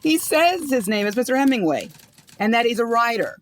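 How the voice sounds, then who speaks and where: A woman's voice: on the high side, big pitch swings, a little fast, slight background noise. A woman playing a nosy, suspicious condo busybody, indignant and carping, in a comic audio drama. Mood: suspicious griping.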